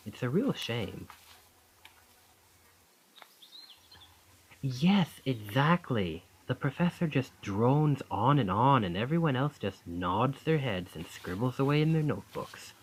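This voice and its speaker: Charming, lively young male student, acted by a man. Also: mid-range voice, a little hiss or hum, low-quality recording. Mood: earnest.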